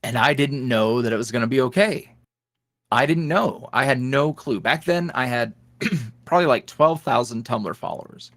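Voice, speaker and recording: A man's voice: mid-range voice, moderate pace, fairly bright tone, no room sound, clean studio sound. A man being interviewed as a guest, chatting casually and telling rambling stories with frequent laughs. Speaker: ASMR roleplay creator, podcast guest.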